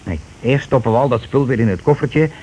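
An actor playing a scheming, money-grabbing husband and landlord in a radio play, talking in wheedling, self-justifying colloquial patter. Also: male voice, medium pitch, expressive, a little fast, a little mellow, natural voice. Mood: excited, greedy. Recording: noisy old radio drama recording.

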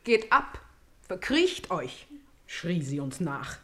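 An actress playing a spiteful, gossiping maidservant in a classical radio tragedy, theatrical and mocking. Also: female voice, fairly low pitch, big pitch swings, slow pace, a bit bright, exaggerated voice.

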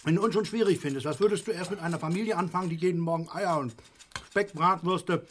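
A man's voice: high-pitched, fast talker, slight background noise, close to an everyday voice. A man playing a pompous, nagging middle-aged father, lecturing sarcastically in a radio play. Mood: sarcastic, scolding. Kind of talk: naturalistic German radio play acting.

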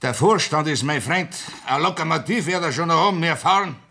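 An actor playing a loyal, hearty drinking friend in a 1960s radio play, excitable. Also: male voice, on the high side, brisk. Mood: eager. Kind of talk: 1960s radio drama acting.

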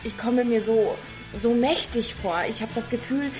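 Actress playing a high-voiced, defiant teenage girl. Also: unhurried, over music, natural voice. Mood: conspiratorial, excited. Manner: curt, cheeky. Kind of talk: radio drama acting.

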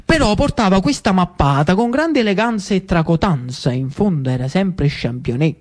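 A man's voice: high voice, expressive, normal speed. A man giving dramatic stage narration in a declamatory, ironic manner. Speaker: stage storyteller.

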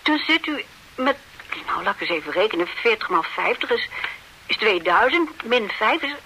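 An actress playing a brisk, businesslike office assistant in a radio play. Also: female voice, on the high side, very animated, sharp tone, slight background noise, exaggerated voice, rough recording. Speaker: office assistant at an advice bureau.

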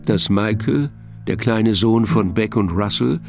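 A man narrating a scripted audio drama in a measured, literary storytelling manner. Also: fairly low pitch, gravelly, music in the background.

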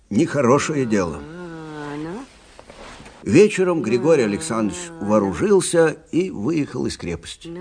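An actor playing a plainspoken, rueful older army officer who recounts frontier tales conversationally in a radio play. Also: male voice, mid-range voice. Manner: conversational, expressive, folksy. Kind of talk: dramatic storytelling in a radio play.

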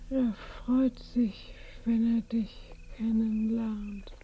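An actress playing a wistful, bitter dying mother in a radio play, in a husky, breathy, halting voice. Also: fairly high pitch, steady tone, lo-fi sound, soft background music, heavily acted. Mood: bitter, urging. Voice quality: husky, creaky, breathy near-whisper. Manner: very slow, halting, insistent. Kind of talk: German radio play acting.

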